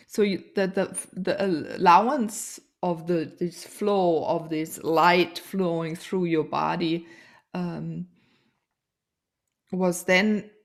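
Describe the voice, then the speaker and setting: A woman with a German accent hosting a spiritual podcast interview, often searching for words and restarting her sentences. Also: medium pitch. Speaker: podcast host. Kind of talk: conversational interview.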